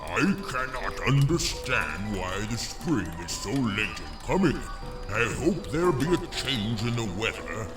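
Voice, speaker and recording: An actor playing a gruff, selfish giant in a fairy-tale audio drama, with a pompous, grumbling way of speaking. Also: male voice, mid-range voice, sing-song. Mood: puzzled, grumbling. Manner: slow, pompous, grumbling declamation. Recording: roomy echo, music underneath. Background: music.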